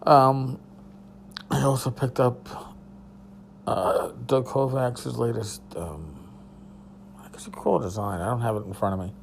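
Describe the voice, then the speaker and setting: A tired man with a hoarse voice, hosting a casual solo podcast from a hotel room and rambling loosely with a bit of swearing. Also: medium pitch, unhurried, pauses often, a little hiss or hum. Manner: rambling, profane, restarts. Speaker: podcast host.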